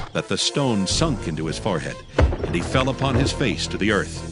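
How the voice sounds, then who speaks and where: A man narrating scripture as storyteller in a dramatized reading. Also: medium pitch. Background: background music.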